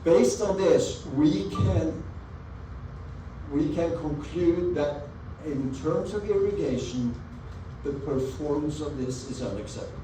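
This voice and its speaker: A man from Norway with a Norwegian accent, giving an earnest, measured presentation over slides at a community meeting and stressing key words. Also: a little high, mellow. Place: meeting room with an audience. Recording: echoey meeting room, mic at a distance, audible background noise.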